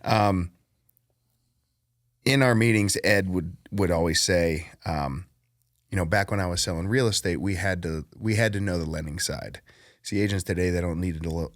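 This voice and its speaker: A man's voice: low-pitched, moderate pace, no room sound, studio quality. A man, a podcast guest, chatting casually and telling a story with frequent laughs. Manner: laughs often, relaxed storytelling. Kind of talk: casual chat.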